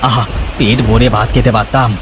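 A man's voice: mid-range voice, level tone, fairly quick, over music, close to an everyday voice. A man voicing a lovesick, food-loving village husband in a cartoon, with an exclamatory, sighing manner. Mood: wistful. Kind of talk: cartoon character acting.

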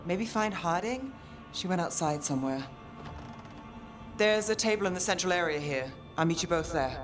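A woman's voice: fairly low pitch, expressive, lightly acted. A woman acting a wary, skeptical woman mage. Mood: calm. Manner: measured, skeptical. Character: wounded wary woman mage, cautious, dry. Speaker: party companion. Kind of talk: video game voice acting. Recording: game dialogue with clear music, long reverb.

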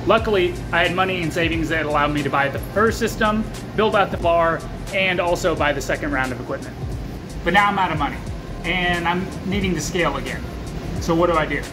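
A man's voice: a little high, brisk. An American man in his thirties talking candidly to camera, thinking aloud in an explanatory vlog. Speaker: small brewery owner and vlogger. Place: small taproom bar with metal walls, indoors. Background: clear background music.

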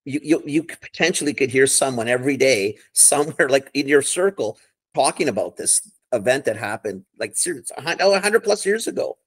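A man's voice: mid-range voice, lively intonation, fairly quick, dry recording. A middle-aged man chatting casually and enthusiastically on a video call, with a rambling way of talking. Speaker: co-host. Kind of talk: casual chat. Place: living room, seated on a sofa.

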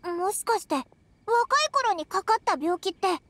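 A woman voicing a tiny, childlike fairy companion in a video game, cheeky, curious and excitable, with brash, boyish casual speech. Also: very high-pitched, moderate pace, no room sound. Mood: curious, puzzled. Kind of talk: animated video game dub acting.

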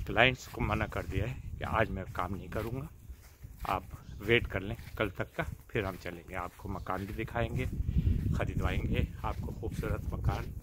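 An older man chats casually to the camera while outdoors, pausing often and addressing his viewers directly in a leisurely way. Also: lowish voice, background noise. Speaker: vlogger. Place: outdoors in a suburban backyard. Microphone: phone at arm's length.

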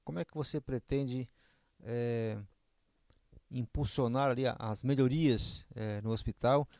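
A middle-aged man, hosting an interview in a halting way on a handheld studio mic. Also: medium pitch, a little mellow, dry recording. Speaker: interviewer, host. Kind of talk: local political interview. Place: small indoor studio. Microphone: handheld microphone.

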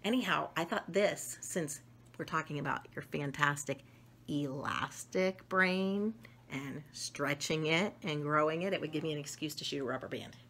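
A middle-aged woman reading a picture book aloud to children, expressive and enthusiastic, with chatty asides to her listeners. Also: low-pitched, moderate pace, a little hiss or hum. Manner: enthusiastic, expressive, talks directly to young listeners. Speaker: read-aloud storyteller. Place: small domestic room indoors.

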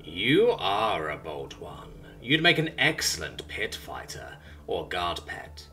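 A man performs an ancient, bitter alien creature, slow and hissing in its threats. The voice drawls, sometimes dropping to a whisper. Faint music plays behind it. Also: deep voice, very animated, bright, forceful tone, voice pushed down, full character voice. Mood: menacing. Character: ancient bitter alien abductor, male, needle-toothed.

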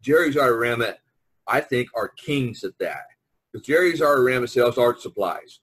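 Bright, slightly husky American man hosting. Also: mid-range voice, lively intonation, moderate pace. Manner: talkative, jokey, prodding the others to talk. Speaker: podcast host, visual artist. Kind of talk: casual video-call podcast chat. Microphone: online video conference call.

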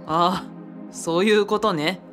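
An actress playing a wry, teasing, often exasperated companion to a spirit in a radio drama, with sardonic banter. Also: female voice, on the low side, takes it slowly, over music.

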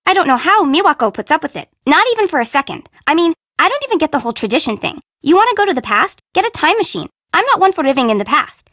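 A woman's voice: high voice, a bit bright, no echo, clean studio sound. An actress voicing a flippant, sassy young fashion-minded city girl in a video game, with a teasing, slangy, blunt way of talking. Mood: bored, dismissive. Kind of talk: video game character dialogue.

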